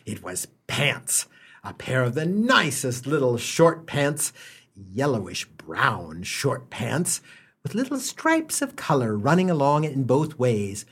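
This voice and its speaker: Wry, swooping storyteller played by a man, slightly husky. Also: medium pitch, exaggerated voice. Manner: wry, mock-solemn, dramatic emphasis. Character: wry humorist narrator gently mocking a boy's gifts. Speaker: storytelling narrator. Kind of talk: theatrical comic radio storytelling.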